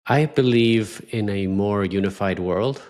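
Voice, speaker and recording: A man raised in Ecuador hosting a podcast interview, talking in a halting way and often repeating words. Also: on the low side, darkish tone. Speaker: podcast host. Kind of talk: casual interview talk.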